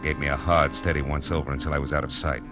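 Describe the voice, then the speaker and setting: A deep-voiced American private eye, hard-boiled and wisecracking. He talks in clipped, slangy phrases in the manner of 1940s radio drama, with prominent music on the old broadcast. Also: little pitch movement, quick pace. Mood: hard-boiled narrating. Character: tough wisecracking male private detective. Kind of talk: 1940s radio drama acting and first-person narration.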